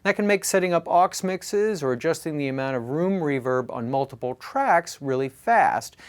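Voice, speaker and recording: A man presenting a scripted product review in a clear, measured, instructional way, leaning on the openings of his phrases. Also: on the high side.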